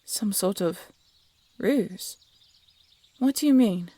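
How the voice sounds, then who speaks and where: A woman playing a blunt, sarcastic young huntress, a soft-hearted failed assassin, in an audio roleplay drama. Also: medium pitch, animated, slow pace, no room sound. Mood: wry, grudging.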